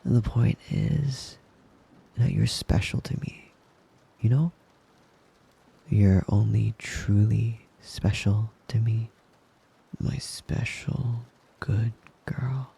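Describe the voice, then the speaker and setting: Man playing a husky, whispery possessive wolf boy. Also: on the low side, mellow, heavily acted. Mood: possessive, affectionate. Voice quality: breathy, husky, often dropping to a whisper. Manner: slow, coaxing, teasing endearments. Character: possessive, doting young male wolf-boy lover. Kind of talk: intimate ASMR roleplay.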